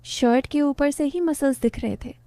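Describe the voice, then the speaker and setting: A woman narrating a first-person story in a steady storytelling tone. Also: high voice, moderate pace, no echo.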